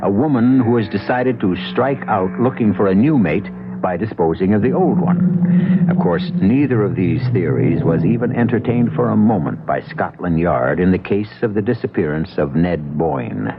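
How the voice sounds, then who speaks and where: A man hosting a radio drama, narrating a scripted mystery introduction in a measured, formal storytelling manner. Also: lowish voice, expressive. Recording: dull old radio broadcast with music.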